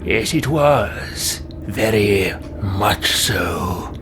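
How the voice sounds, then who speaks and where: An actor playing a grim, vengeful adversary in a fantasy video game, deliberate and quietly menacing. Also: male voice, fairly low pitch, lively intonation. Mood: quiet menace. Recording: noisy game audio.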